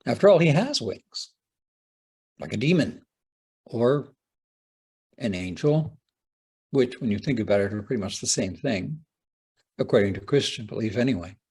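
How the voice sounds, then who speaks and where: Male lecturer, playwright type: husky tone, unhurried delivery, theatrical phrasing, deliberate dramatic pauses. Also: mid-range voice, a little mellow. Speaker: playwright giving a talk. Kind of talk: prepared conference lecture, read aloud with dramatic phrasing.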